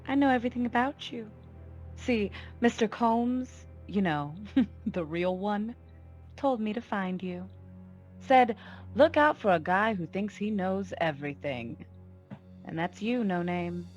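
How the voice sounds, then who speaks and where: A woman's voice: mid-range voice, expressive, lo-fi sound, faint music behind, natural voice. An actress playing a cold, commanding female gangster boss in a noir radio play, taunting and full of threat. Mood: scornful taunting. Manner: taunting, deliberate, imperious. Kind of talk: 1940s radio noir acting.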